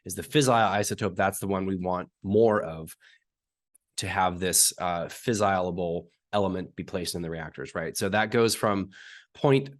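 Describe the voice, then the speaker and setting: A man with a hoarse, raspy voice from being sick, explaining technical detail step by step as an interview guest and often clearing his throat. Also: sits low, moderate pace. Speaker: uranium market analyst guest.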